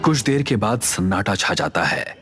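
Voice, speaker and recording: A man's voice: fairly low pitch, very animated, normal speed, fairly bright tone, faint music behind. A man narrating a scripted horror story.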